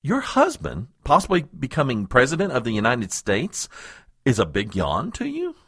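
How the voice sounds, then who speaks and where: A man playing a flustered aide, swooping pitch, husky. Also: huge pitch swings, moderate pace, fairly bright tone, dry recording, clean studio sound, heavily acted. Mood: flustered, evasive. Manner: nervous stammering, stiffly formal. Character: flustered, self-important married male political aide fending off advances. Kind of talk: comedic audio drama acting.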